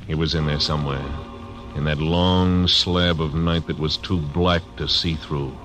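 An actor playing a hard-bitten frontier marshal, narrating tersely in a 1940s radio drama. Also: male voice, very deep voice, steady tone, a little slow. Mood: grim. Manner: terse, grim first-person narration. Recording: old radio broadcast with music.

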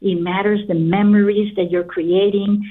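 A woman's voice: mid-range voice, flat delivery, normal speed. A middle-aged woman from Guatemala speaking as an interview guest, telling her own story conversationally. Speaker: interview guest, company founder. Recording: slightly distant, narrow phone-like sound.

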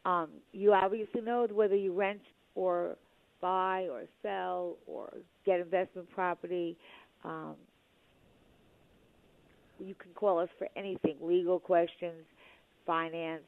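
Hoarse chatty woman on talk radio. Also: on the low side, flat delivery, takes it slowly, pauses often, dry recording. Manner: chatty, with many fillers and restarts. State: a little hoarse. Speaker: radio show host. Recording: dry tinny phone-like radio sound.

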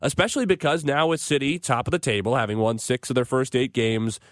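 An American man hosts conversational sports radio in a measured, explanatory manner. His voice sits at a middling pitch for a man. The recording is dry, with no room sound around it. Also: no room sound.

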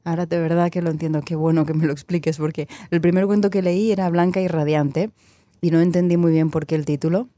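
Woman, interviewer, low mellow voice, dry close sound, encouraging. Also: steady tone, quick pace, no room sound. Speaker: interviewer, host. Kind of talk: casual interview chat.

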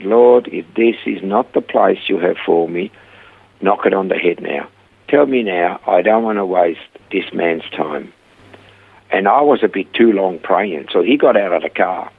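An older man with a Swiss accent telling his life story in a relaxed chat, acting out the voices in his stories. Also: deep voice, husky, narrow, tinny audio. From Switzerland. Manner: animated storyteller acting out quoted dialogue. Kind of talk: casual storytelling interview.

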